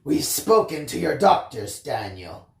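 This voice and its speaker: A woman voicing a soothing, concerned father in a storybook reading, with a gentle, reasoning manner. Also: low voice, very animated, unhurried, vocal fry, echoey room, heavily acted. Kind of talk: dramatised audiobook reading.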